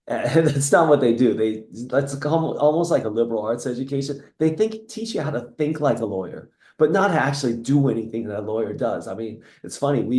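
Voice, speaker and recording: A man's voice: medium pitch, roomy sound. A middle-aged man from the United States, a guest talking casually and reflectively in an interview. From United States (Seattle; born in Taiwan). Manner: reflective, rambling with restarts and soft laughs. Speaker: guest, entrepreneur.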